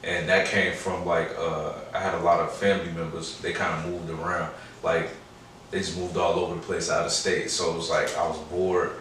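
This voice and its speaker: American guy in his 20s from chicago, low voice, slangy casual interview, way off mic with lots of echo. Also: flat delivery, normal speed, fairly bright tone. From Chicago, United States. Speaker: guest, rapper and producer. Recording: very echoey room, distant mic, clear noise.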